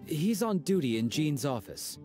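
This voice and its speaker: A man's voice: a little high, big pitch swings, normal speed, over music. A man voicing a formal, dutiful knight guard in a video game.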